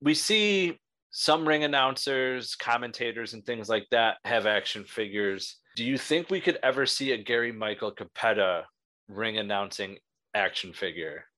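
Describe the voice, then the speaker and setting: A man's voice: medium pitch, normal speed, sharp tone. A man hosting an interview, chatting like a fan with many fillers and restarts. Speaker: podcast host. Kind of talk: casual interview.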